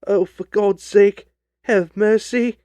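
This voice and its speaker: A man's voice: fairly even intonation, mellow, no echo, studio quality. Man acting a shrieking, cowardly thief begging for mercy. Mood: desperate begging. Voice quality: shrill when begging, shrieking. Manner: wailing, pleading, drawn-out. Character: cowardly, pleading thief, desperate and cringing.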